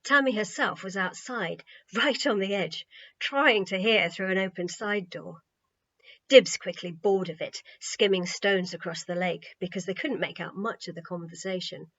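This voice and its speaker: A woman reading a children's story as an expressive audiobook narrator. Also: medium pitch, normal speed, dull audio.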